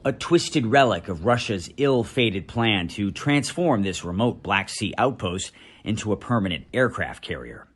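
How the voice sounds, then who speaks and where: An American man, a news correspondent, reading a scripted report voice-over in a dramatic, measured way with deliberate pauses. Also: fairly low pitch, animated, breathy edge.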